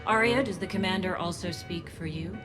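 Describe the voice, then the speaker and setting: A woman's voice: fairly low pitch, fairly quick, natural voice. A woman voicing a principled, guarded alien gang leader in a video game, speaking with firm command. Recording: loud music under the voice.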